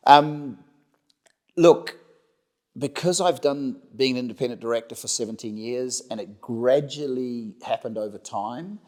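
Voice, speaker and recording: Middle-aged New Zealand man, halting delivery. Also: mid-range voice, a little mellow. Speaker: interview guest, company director. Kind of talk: conversational interview answers.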